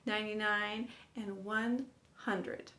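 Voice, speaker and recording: A woman's voice: medium pitch, steady tone, slow, fairly bright tone. Upbeat woman teaching, echoey room. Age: 50s. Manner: upbeat, encouraging, laughs at own slips. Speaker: sign language teacher. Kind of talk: counting aloud while demonstrating signs.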